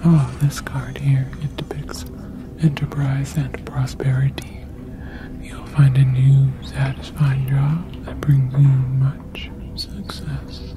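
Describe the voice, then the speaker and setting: A woman voicing a soothing alien psychic fortune teller in a gentle, breathy whisper for an ASMR roleplay. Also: a bass voice, flat delivery, low-quality recording. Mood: soothing, mysterious. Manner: slow, gentle, reassuring. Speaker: ASMR performer.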